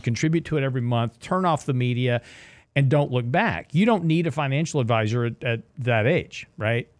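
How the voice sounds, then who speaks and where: A man's voice: medium pitch, dry recording. A man in his sixties hosting a casual financial advice talk, chatty and jokey, repeating himself as he explains. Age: 60s. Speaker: show host, investment strategist.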